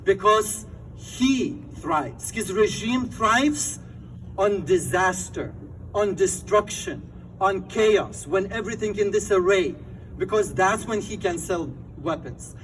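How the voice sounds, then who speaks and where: A man's voice: sits high, lively intonation, slow, fairly bright tone, recorded from a distance, slight background noise, low-quality recording. Fervent Lithuanian-accented man at a rally. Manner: fervent, emphatic phrasing with rallying cries. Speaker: rally speaker. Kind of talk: impassioned outdoor rally speech. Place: outdoor rally.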